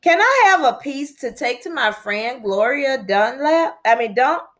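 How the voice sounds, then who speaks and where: Earnest young girl with lively pitch read by a woman. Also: measured pace, heavily acted. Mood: curious. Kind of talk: storybook character read aloud.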